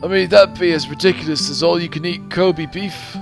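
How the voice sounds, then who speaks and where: A man's voice: fairly high pitch, fairly even intonation, brisk, vocal fry, music in the background, pitched above the performer's own voice. A man voicing a perky, chubby young saleswoman in a visual novel, with peppy, emphatic slangy speech. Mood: earnest.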